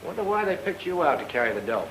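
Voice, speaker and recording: An actor playing a tough, take-charge private detective with clipped, slangy, hard-boiled delivery in 1940s film noir style. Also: male voice, on the high side, sing-song, quick pace, slight background noise, low-quality recording. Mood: brisk, commanding. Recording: old film soundtrack with background music.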